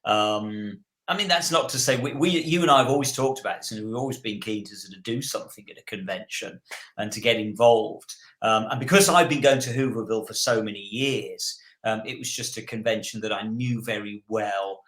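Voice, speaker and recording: A man's voice: on the low side, a little fast, fairly bright tone. Man chatting in an echoey room. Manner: rambling, repeats words and phrases for emphasis. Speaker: podcast co-host. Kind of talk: casual chat. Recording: roomy, echoey sound.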